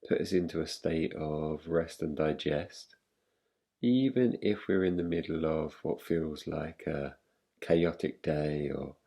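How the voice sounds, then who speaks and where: Calm guy doing guided meditation, super slow, creaky low voice, lots of pauses. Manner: calm, gentle, unhurried with long pauses. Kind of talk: guided meditation, yoga nidra.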